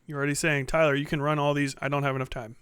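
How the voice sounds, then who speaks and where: A man's voice: fairly high pitch, flat delivery, racing, a bit bright, clear, resonant tone, no echo, studio quality. A man hosting a casual livestream, chatting and replying to viewers in slangy, profane language. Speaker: livestream host. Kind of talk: casual livestream chat, reading and answering viewer comments.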